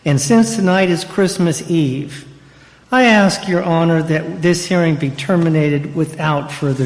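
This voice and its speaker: An indignant state prosecutor acted by a man in an old-time radio play. He insists formally in a slightly high male voice. The sound has a hall's long reverb. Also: a little mellow. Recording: reverberant hall.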